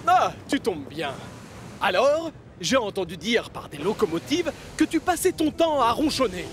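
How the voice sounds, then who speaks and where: High-voiced, bossy railway controller. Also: male voice, sing-song, moderate pace, a bit bright. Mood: firm. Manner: authoritative, admonishing. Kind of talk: children's cartoon dub acting. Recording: music behind the voice.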